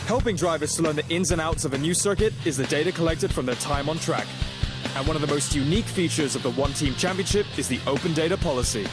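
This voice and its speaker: A man delivers a scripted racing voice-over in a measured, polished announcer's manner. His voice sits a little high for a man. Loud music plays under him the whole time. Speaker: series narrator.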